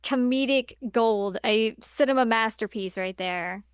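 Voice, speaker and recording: A woman's voice: fairly high pitch, measured pace, clean tone, no room sound, studio quality. A woman co-hosting a podcast, reviewing films in casual, playful and agreeable conversation. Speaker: podcast co-host. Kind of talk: casual film review chat.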